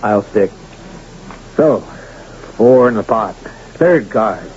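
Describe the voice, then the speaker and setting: An actor playing a domineering, crooked card dealer who runs a Western town, in 1970s radio drama style, brash and menacing. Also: male voice, on the low side, expressive, drawn-out words, darkish tone. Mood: brash, confident. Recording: dull, noisy 1970s radio recording.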